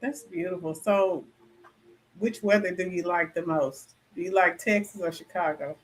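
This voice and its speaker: A woman's voice: fairly low pitch, moderate pace, low-quality recording. A woman hosting a talk show, asking her guest encouraging questions. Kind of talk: interview hosting.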